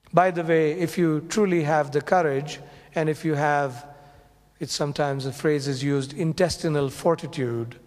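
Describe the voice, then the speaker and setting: Lecturing professor in a reverberant hall. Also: male voice, a little high. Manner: measured, explanatory, lecturing. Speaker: business school professor. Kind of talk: panel talk to an audience.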